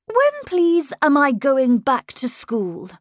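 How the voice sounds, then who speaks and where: A woman's voice: high-pitched, very animated, slow, no room sound, studio quality, pitched above the performer's own voice, full character voice. A woman doing a charming, knowing young boy. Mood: charming, coaxing. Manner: polite, coaxing, charming. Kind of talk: audiobook character voice.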